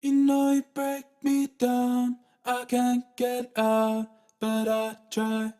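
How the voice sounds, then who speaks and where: A woman sings slow, high pop lines with a clearly electronic, autotuned finish. The harmonies sound like a vocoder, with music prominent in the sound. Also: completely flat delivery, mellow. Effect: tightly edited autotune, electronic vocoder-like sound. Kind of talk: sung pop vocal harmonies.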